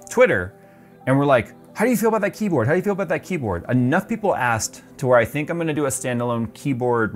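A man in his forties giving a casual tour of his home game room, chatty and loose with plenty of ums, on a lapel mic. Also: medium pitch. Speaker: tech YouTuber.

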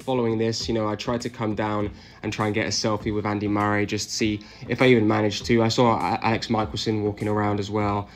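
A young man narrating his own vlog in a relaxed, chatty way, full of little "you know" asides. His voice sits low and stays fairly flat, recorded close on a small earbud mic, with faint music underneath. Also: fast talker. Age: young adult. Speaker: vlogger. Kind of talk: casual vlog voice-over.

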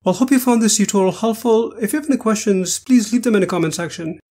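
High-voiced man narrating a software tutorial. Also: quick pace. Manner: step-by-step, instructional. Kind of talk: scripted screen-capture tutorial narration.

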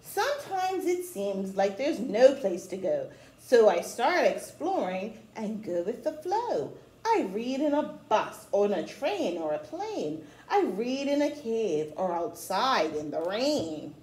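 A middle-aged woman reading a storybook aloud to young children, with chatty asides, in an expressive, encouraging way. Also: mid-range voice, slow pace. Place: small room at home, indoors. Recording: echoey small room.

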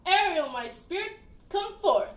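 Commanding puppet-show lord, young female performer, high and declamatory, amateur, recorded roomy and off-mic. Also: big pitch swings, a little slow, fairly bright tone, heavily acted. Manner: theatrical, declamatory. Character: commanding, forgiving sorcerer and grieving king, sock puppets. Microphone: phone camera at a distance. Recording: roomy, distant phone camera sound.

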